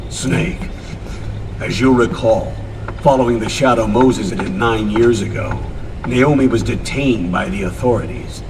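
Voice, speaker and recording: Guy playing older colonel briefing, mid pitch, distant n echoey, noisy background. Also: a little slow, lightly acted. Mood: sober, authoritative. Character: authoritative older male colonel giving sober mission briefings. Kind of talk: video game cutscene briefing.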